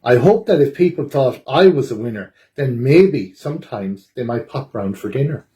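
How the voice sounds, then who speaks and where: A man's voice: mid-range voice, expressive, normal speed, warm tone, close to an everyday voice. A man voicing a lonely, guilty storybook bear with a pleading, confessional manner. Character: sneaky lonely male bear, tearfully confessing and apologetic.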